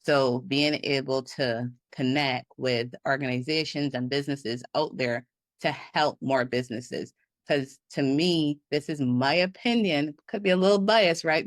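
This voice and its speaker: Lively laughing woman from Barbados. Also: extremely low pitch, no echo. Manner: animated, laughs often, acts out her own inner thoughts. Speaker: business consultant guest. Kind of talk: casual interview talk.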